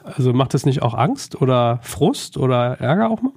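A man's voice: mid-range voice, very animated, moderate pace, darkish tone. A man hosting an interview in a casual, colloquial chatting style. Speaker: interview host.

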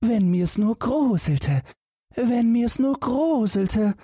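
Fairy-tale lad, played by a man: high, guileless, earnest, naive and carefree. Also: animated, slow, mellow, breathy edge, no echo, studio quality, full character voice. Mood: eager, naive. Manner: guileless, matter-of-fact, earnest. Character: naive, carefree boy who wants to learn to shudder.

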